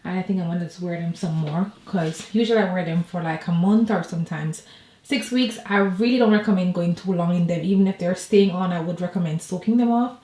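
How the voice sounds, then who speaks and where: A woman's voice: lowish voice, a little fast, mellow, reverberant. A woman vlogger chatting casually and rambling to her viewers from home. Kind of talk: casual personal vlog chat.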